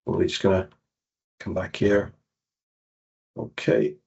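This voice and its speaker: A man's voice: low voice, flat delivery, slow, vocal fry, a little mellow, breathy edge. British man walking through a database demo. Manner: explains steps while clicking through screens, with small self-corrections. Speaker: database trainer. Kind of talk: software demonstration webinar. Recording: dull lo-fi webinar audio.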